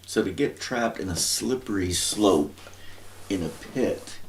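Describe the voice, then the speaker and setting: A man giving an informal, rambling Bible teaching, thinking aloud and often pausing to search for words. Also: deep voice, expressive, slight background noise.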